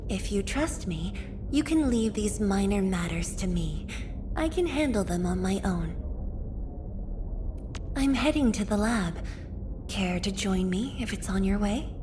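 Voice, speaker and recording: An actress voicing a gentle, courteous scholarly woman official in a video game, speaking in a formal, polite, reflective way. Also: medium pitch, lively intonation, unhurried, pauses often, noisy background, lightly acted. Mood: courteous, composed. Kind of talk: scripted video game character voice lines.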